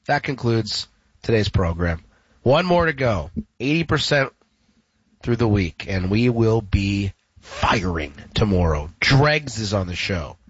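A man's voice: lowish voice, very animated, a little slow, slightly rough, dry recording, highs cut off. A man hosting a sports radio show, talking casually and jokingly with a congested, stuffy voice. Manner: playful, jokey. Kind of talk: casual radio chat with sponsor reads.

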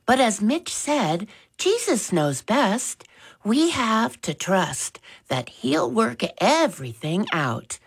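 A woman reads a mother's lines, lifting her voice a little higher than her own. She speaks in an earnest, sincere way. The tone swings widely at a slow, steady pace. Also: slightly rough, heavily acted.

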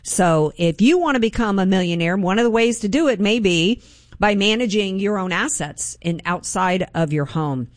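A woman hosting a morning talk radio show, conversational with fillers, shifting into a bright sales pitch for sponsors. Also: animated, normal speed, no echo, studio quality. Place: radio studio. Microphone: studio mic.

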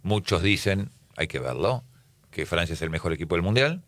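A man's voice: sits low, animated, a little fast, fairly bright tone, no echo, clean studio sound. A man hosting a casual football talk panel, asking his guests questions and thinking aloud. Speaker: host.

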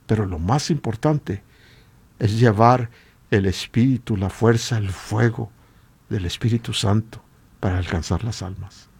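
A man with a Latin American Spanish accent and a husky voice, preaching informally and emphatically with repeated rhetorical questions. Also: lowish voice, measured pace, darkish tone. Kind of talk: informal exhortation sermon.

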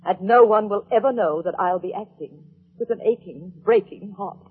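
Woman as a husky, melodramatic leading lady, tinny recording. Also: medium pitch, moderate pace, lightly acted. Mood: wounded dignity. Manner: grand, theatrical, tremulous. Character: melodramatic, easily wounded amateur leading lady. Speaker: actress in a radio comedy. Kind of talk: old-time radio comedy acting. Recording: thin, band-limited old radio recording.

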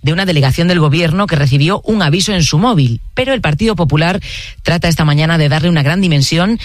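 A woman presenting the afternoon radio news, talking brisk and fluent as she introduces reporters. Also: deep voice, no echo, studio quality. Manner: brisk, fluent, conversational delivery. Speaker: news anchor.

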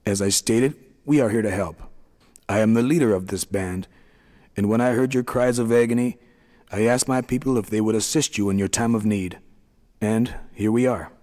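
A man voicing a kind, tiny middle-aged leader of the little people, speaking gently and soothingly. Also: on the low side, normal speed, long reverb tail, close to an everyday voice. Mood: reassuring.

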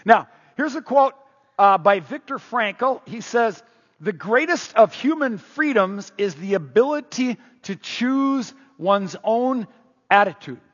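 A middle-aged man preaches with a high, husky voice, talking casually with the congregation and tossing them questions. His voice rings out in a long hall reverb, and the recording sounds dull and muffled. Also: lively intonation, slow pace, fairly bright tone. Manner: conversational, asks the congregation questions. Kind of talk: sermon.

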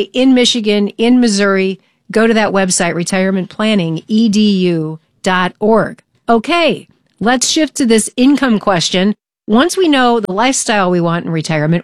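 A woman co-hosting a radio show, reading announcements in a brisk, announcer-like way. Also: medium pitch, no echo, studio quality. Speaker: radio co-host. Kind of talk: radio announcement and host talk.

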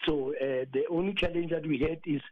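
A hoarse South African man with a husky voice speaks on a call, his tone slightly raised. He pushes his points hard but stumbles through fillers. The line sounds dull and dry. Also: fairly high pitch, fairly even intonation, dry recording. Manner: emphatic, halting with many fillers. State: hoarse from celebrating. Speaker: football coach, guest. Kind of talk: phone-in radio interview.

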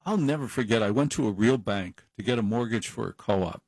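A man's voice: fairly low pitch, animated, a little fast, a little mellow, breathy edge, no room sound. A middle-aged man hosting an overnight call-in radio show, talking in a rambling, chatty, self-deprecating way into a studio microphone. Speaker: late-night radio talk show host. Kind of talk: casual monologue on call-in radio.

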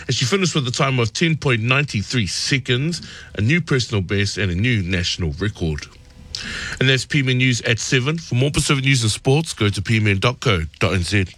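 A man's voice: mid-range voice, lively intonation, a little fast, a bit bright. A man who is a radio producer, reading the news and then chatting with the host in a studio, friendly and teasing.

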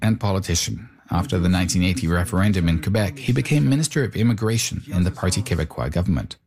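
A man reporting and narrating a radio news feature in a measured, explanatory way. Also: low-pitched, a crowd in the background.

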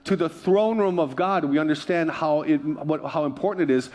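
Man, pastor from Hawaii, fairly high-pitched, sermon, repeats key phrases, prompts congregation. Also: normal speed, darkish tone. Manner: emphatic repetition, calls for congregational response.

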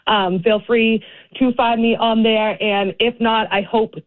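A woman's voice: fairly high pitch, level tone. A woman in her thirties with a Boston accent, a candidate talking earnestly on a radio call-in with lots of ums and restarts. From Boston area. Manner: earnest, halting, with many fillers. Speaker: congressional candidate, radio guest. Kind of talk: casual radio interview. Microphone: phone call. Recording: tinny phone line.

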